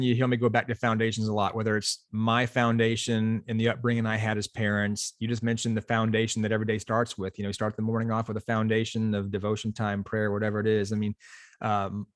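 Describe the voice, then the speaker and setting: A man talking casually as a guest, with a loud, boisterous voice and an earnest, rambling way of speaking. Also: fairly low pitch, no room sound, studio quality. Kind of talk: casual conversation.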